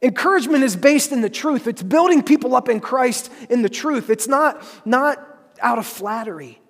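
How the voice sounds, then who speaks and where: A man's voice: very high-pitched, lively intonation, normal speed, vocal fry. A man preaching a sermon as pastor, earnest and emphatic, repeating phrases for stress. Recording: preached in a hall with long reverb.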